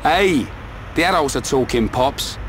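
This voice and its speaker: Brash young fighter. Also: male voice, mid-range voice, lively intonation, measured pace, fairly bright tone, a little hiss or hum. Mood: blunt, earnest. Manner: blunt, colloquial. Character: brash, loyal young male fighter, blunt and casual. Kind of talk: video game voice acting.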